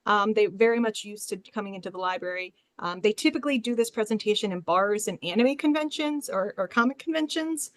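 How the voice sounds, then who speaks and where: Woman librarian doing a relaxed webinar talk, mid voice, really dry close audio. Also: medium pitch, brisk, hardly any pauses, dry recording. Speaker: librarian presenting on a panel. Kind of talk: informal webinar presentation.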